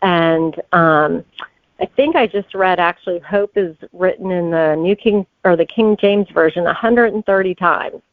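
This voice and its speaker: A woman's voice: fairly low pitch, a little slow, no echo, phone-quality sound. A woman answering conversationally as a guest author in an interview.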